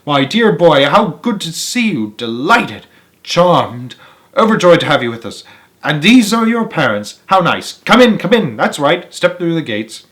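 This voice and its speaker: A man's voice: on the high side, very animated, fairly bright tone, voice pushed up. A man voicing an eccentric, bubbly little chocolate-maker from a storybook, effusive and full of exclamations. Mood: overjoyed welcome. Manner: effusive, exclamatory, rapid strings of pleasantries. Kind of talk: storybook read-aloud character voice.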